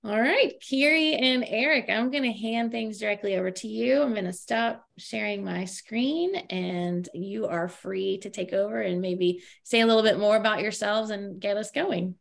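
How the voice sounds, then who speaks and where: A woman's voice: medium pitch, moderate pace, creaky, hardly any pauses. A woman hosting a webinar, giving announcements in an informal way with frequent fillers over a video call. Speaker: webinar host.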